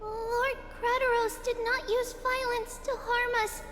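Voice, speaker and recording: Tiny game girl, squeaky high, unhurried, kind, pleading, childlike, music behind. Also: little pitch movement. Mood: earnest pleading. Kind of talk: video game voice acting. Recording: long hall reverb under loud music.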